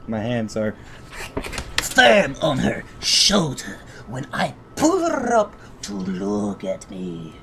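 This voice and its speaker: A man's voice: wildly swooping pitch, takes it slowly, creaky. A man streaming a visual novel, reading it aloud with profane, exasperated asides and stumbling over words. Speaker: gaming streamer. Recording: rumbly background noise.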